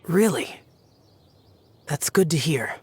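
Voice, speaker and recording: A man's voice: fairly high pitch, animated, takes it slowly, husky, dry recording, exaggerated voice. A man voicing a stern, aloof young guardian warrior in a video game, curt and formal. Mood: stern, warning.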